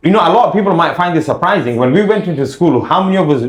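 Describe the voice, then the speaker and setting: A man's voice: high voice, lively intonation, rapid-fire, crowd noise. A man hosting a casual podcast panel chat, asking eager rhetorical questions. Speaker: podcast host. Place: studio set up inside a takeaway. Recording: echoey room.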